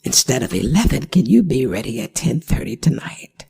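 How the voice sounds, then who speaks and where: A performer voicing a worried, gentle older werewolf mother in an ASMR audio drama, speaking in halting, pleading phrases. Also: mid-range voice, sing-song, creaky, breathy edge, heavily acted. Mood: earnest instructing.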